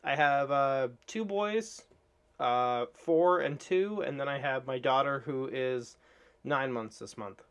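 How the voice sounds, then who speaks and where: A man's voice: medium pitch, slow pace, a bit bright, smooth. Casual man chatting on a livestream. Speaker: streamer reacting to videos.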